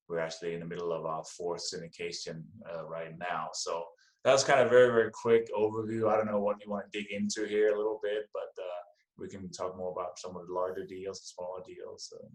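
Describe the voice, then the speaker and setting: A middle-aged man with a Danish accent talks in a relaxed, conversational way, often circling back on his words. His voice sits fairly low. The sound is roomy and echoey, like a podcast recorded in a bare room. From Denmark. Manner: easygoing, repeats words as he gathers thoughts. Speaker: podcast guest. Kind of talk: casual interview chat.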